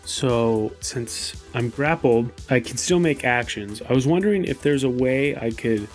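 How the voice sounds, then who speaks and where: A man playing a tabletop game, explaining his moves and describing action in a deliberate, casual way. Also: mid-range voice, lively intonation, soft background music.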